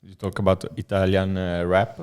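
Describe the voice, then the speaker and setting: A man co-hosting a podcast who asks the guest questions and backs her up with quick yeahs. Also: sits low, monotone, a little mellow, clean tone. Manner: eager interviewer, many quick backchannels. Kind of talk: casual podcast chat.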